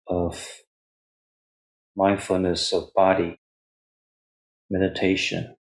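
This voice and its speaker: A man with a low voice gives guided meditation instruction as a Buddhist teacher. He speaks very slowly, in short measured phrases. Long pauses separate each step of the instruction. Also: little pitch movement. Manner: refers to himself in third person as teacher.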